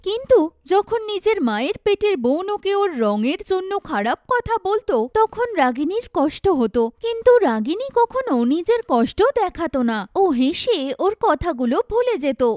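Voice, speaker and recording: A woman's voice: extremely high pitch, big pitch swings, normal speed, darkish tone, dry recording, clean studio sound. A woman giving scripted narration for a cartoon story.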